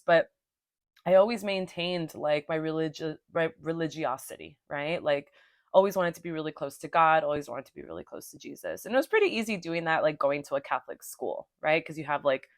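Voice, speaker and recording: Chatty young woman podcast host, low voice. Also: dry recording, clean studio sound. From Los Angeles, California. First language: Spanish. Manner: chatty, swears casually, frequent "right?" and "okay?". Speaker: podcast host and sex coach. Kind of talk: casual solo podcast monologue.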